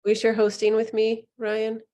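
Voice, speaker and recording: A woman's voice: fairly high pitch, monotone, normal speed, mellow. A woman hosting an online public meeting over a video call, upbeat and chatty with some ums. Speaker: meeting host.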